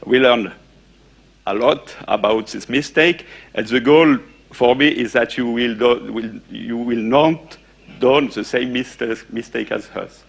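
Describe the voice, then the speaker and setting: A man lectures a conference audience in English. He talks haltingly and restarts his sentences often, with plenty of uh and eh. Now and then he stops to ask the room a question. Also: medium pitch, gravelly, a bit bright, slightly rough. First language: French. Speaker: conference speaker.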